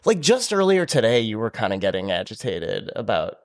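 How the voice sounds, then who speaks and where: A man co-hosting a podcast, speaking candidly and loudly about his own feelings in casual conversation. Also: fairly low pitch, brisk. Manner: candid, animated, loud speaking voice. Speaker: podcast co-host.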